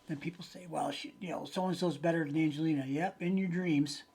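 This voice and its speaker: A man's voice: fairly high pitch, fairly even intonation, brisk, darkish tone. A man in his late sixties chatting casually and unscripted to his viewers as a channel host, rambling in a folksy way with hesitant phrasing. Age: late 60s.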